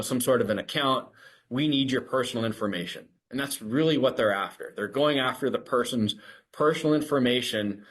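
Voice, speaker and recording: A man with an ordinary middle-pitched voice co-hosts a casual podcast. He talks earnestly, cautioning his listeners. Now and then he slips into acting out a scammer's lines. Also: low-quality recording. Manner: earnest, warning tone, acts out scammer dialogue. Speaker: podcast co-host.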